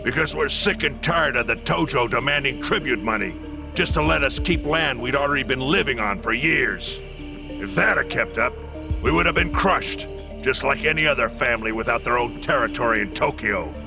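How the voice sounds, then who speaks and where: An actor playing an aggrieved, foul-mouthed yakuza subordinate in a dramatic video game scene. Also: male voice, mid-range voice, animated, normal speed, gravelly. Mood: bitter, indignant. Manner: profane, indignant. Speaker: yakuza gangster. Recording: music behind the voice.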